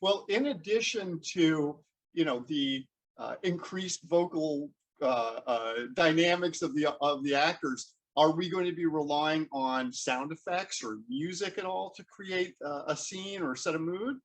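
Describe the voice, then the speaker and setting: A man hosting a conversational interview, halting and repeating words as he forms his questions. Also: fairly high pitch. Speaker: interview host.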